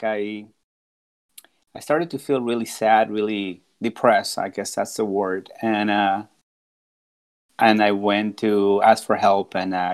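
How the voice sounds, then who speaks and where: A man in his forties, from Peru, speaking as a podcast guest in an earnest, reflective way, often restarting and repeating words as he thinks. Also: lowish voice. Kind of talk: casual podcast conversation.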